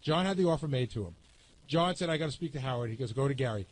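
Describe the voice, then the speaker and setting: A man's voice: medium pitch, lively intonation, quick pace, slight background noise, poor audio. A man in a heated, casual radio panel discussion, arguing bluntly and with some profanity. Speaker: radio show staff panelist.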